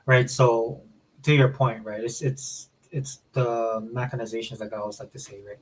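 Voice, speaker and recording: A man's voice: mid-range voice, fairly even intonation, normal speed, poor audio. Rambling podcast host, a man. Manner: rambling, many restarts and fillers. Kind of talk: casual chat.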